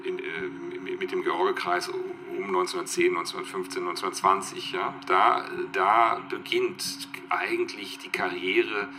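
A man giving reflective, halting spoken commentary on literature, searching for words, in a noisy recording. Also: low voice, sing-song. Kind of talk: spontaneous interview commentary.

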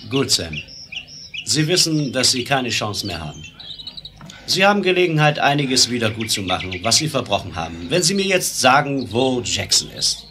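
A man's voice: medium pitch, big pitch swings, moderate pace, background noise, natural voice. An actor playing a stern, commanding western lawman in a radio play. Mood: insistent, sober. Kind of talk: German 1970s western radio play acting.

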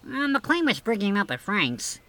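Naive janitor trainee for comedy skit, played by a man: high, very bright, questioning male, faint noise behind. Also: huge pitch swings, moderate pace, full character voice. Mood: eager. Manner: hesitant, questioning. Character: naive, puzzled, obedient male janitor learning grilling. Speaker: comic sidekick.

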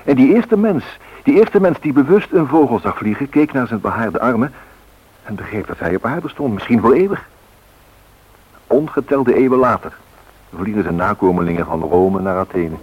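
An actor playing a solemn, poetic storyteller narrating a radio drama with dramatic emphasis and a husky voice. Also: male voice, medium pitch, animated, moderate pace. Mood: rising, impassioned. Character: solemn poetic male storyteller of flight myths. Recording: muffled old radio recording.

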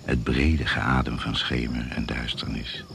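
Very deep, gravelly male narrator. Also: level tone. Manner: hushed, suspenseful, deliberate. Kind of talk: scripted dramatic narration of a radio play. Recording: noisy old radio play recording.

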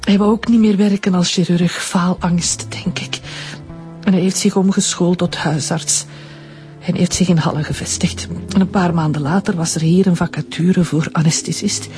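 An actress with a Flemish accent playing a middle-aged anesthetist and widow who speaks softly and hesitantly. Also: female voice, lowish voice, steady tone, moderate pace, soft background music, lightly acted. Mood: sorrowful. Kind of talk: radio drama acting.

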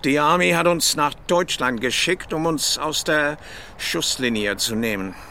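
An actor playing an embittered, defensive older British ex-soldier in a German radio crime drama. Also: male voice, a little high, unhurried, bright, forceful tone, a little hiss or hum. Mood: bitter. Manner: bitter, defensive.

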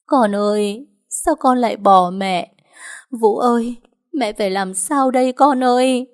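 Woman doing a grieving old mother, sobbing lament, high and strained, audiobook story. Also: measured pace, natural voice. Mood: keening grief. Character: grieving old mother keening for her son.

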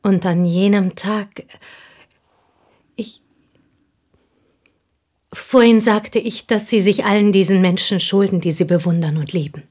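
An actress in a German radio play, playing an intense, embittered provincial Frenchwoman making a fervent, halting confession in a husky, breathy voice. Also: medium pitch, fairly even intonation, darkish tone, lightly acted. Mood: solemn, yearning. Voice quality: husky, breathy, dropping into whispers. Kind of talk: German radio play acting, dramatic monologue.

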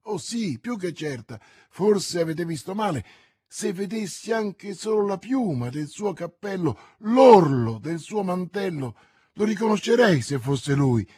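A man's voice: high-pitched, animated, moderate pace, a little mellow, breathy edge, dry recording, studio quality, pitched above the performer's own voice, full character voice. A man voicing an anxious, lovestruck young woman, proud and trusting, as a character in an audiobook. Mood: breathless, eager. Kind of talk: audiobook character voice, classic novel.

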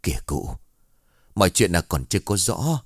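A man voicing a calm, fair-minded older village man who mediates a dispute. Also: deep voice, slightly rough, no room sound, clean studio sound, heavily acted. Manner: calm, measured, reassuring.

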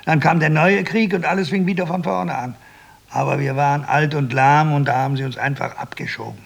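Creaky, querulous old man. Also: a little high, brisk. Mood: self-important, grumbling. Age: older. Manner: querulous, pedantic, self-important. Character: grumpy, pedantic old husband of eighty, fond of drink. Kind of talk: German radio play acting.

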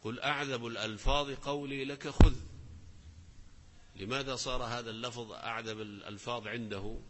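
A man teaching a lesson, explaining lines of poetry and reciting verses slowly with emphasis. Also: mid-range voice, steady tone, big-room sound, very noisy. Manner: slow, deliberate recitation of verses with rising emphasis.

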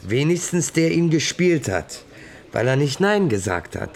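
A man's voice: on the high side, sing-song, measured pace, darkish tone. A man playing a troubled, conscience-stricken actor colleague in a German radio drama, probing and reflective. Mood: uneasy, probing.

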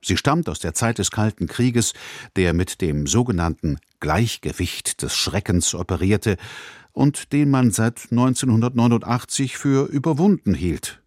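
Male broadcast announcer for a scripted intro: articulate, low register, very varied melody, studio-dry. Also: expressive, unhurried, darkish tone, no room sound. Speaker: radio presenter.

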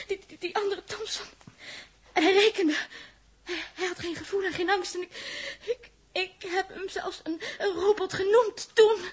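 An actress playing a frightened, tearful young woman aboard a spaceship in a 1960s radio drama, stammering and repeating her words. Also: very high-pitched. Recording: noisy 1960s radio recording.

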